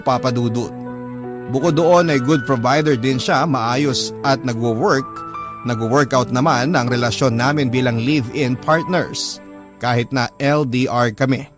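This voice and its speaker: A man narrating a listener's letter in a sentimental radio storytelling style. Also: mid-range voice. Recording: music bed under the voice. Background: music.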